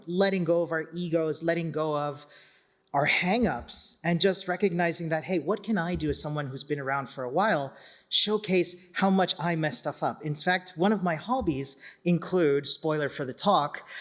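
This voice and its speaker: Higher-pitched man giving an informal talk. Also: fairly bright tone. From Chile. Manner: enthusiastic, self-deprecating, conversational asides. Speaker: conference speaker, community organiser.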